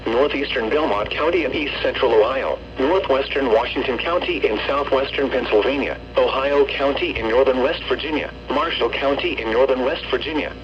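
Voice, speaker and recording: Automated male announcer, mid-pitched text-to-speech, formal and measured, reading storm warnings over dull, faintly noisy radio. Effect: weather radio broadcast. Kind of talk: scripted severe weather warning bulletin. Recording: muffled lo-fi radio with hum, noise.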